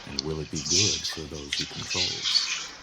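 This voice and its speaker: Very deep-voiced man reading formally. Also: steady tone, very noisy. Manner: formal, deliberate. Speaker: audio log narrator in a video game. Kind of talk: recited quotation, measured scripted reading.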